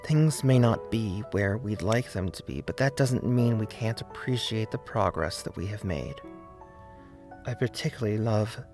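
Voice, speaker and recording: A man narrating a scripted video essay in an earnest, deliberate way, with emphasis on key words. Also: lowish voice. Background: faint background music.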